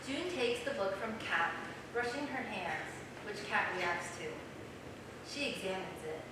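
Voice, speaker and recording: A woman's voice: medium pitch, a little slow. A young woman reading scripted stage directions aloud at a play reading. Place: small theater stage. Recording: very echoey, noisy theater, distant mic.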